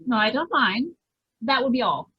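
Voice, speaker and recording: A woman plays a polite, well-to-do lady customer in an old-time radio comedy. Her voice is high, with a rough, breathy edge. She is unfussy and courteous. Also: expressive, normal speed, muffled recording.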